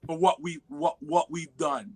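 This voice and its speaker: A man from New York with a New York accent, talking loud and profane as a podcast guest, laughing at himself. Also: on the high side, measured pace. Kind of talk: casual chat.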